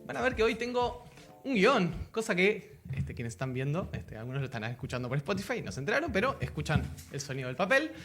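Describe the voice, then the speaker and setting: Uruguayan male podcast host, slightly high voice, nervous and stop-start. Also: very animated, fairly bright tone, soft background music. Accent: Uruguayan Spanish. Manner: nervous, halting, many fillers and restarts. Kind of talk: political podcast interview.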